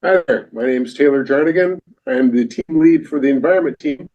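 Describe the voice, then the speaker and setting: A man's voice: fairly high pitch, quick pace. A man leading an environment team, giving a rambling report at a community meeting while searching for words. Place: meeting room. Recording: dull, muffled audio.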